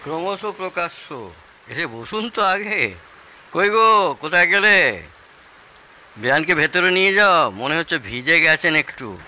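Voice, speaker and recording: An actor playing a proud, excitable host in his sixties in an audio drama, theatrical, boastful and needling. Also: a little high, unhurried, slight background noise, full character voice. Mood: eager, welcoming. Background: rain.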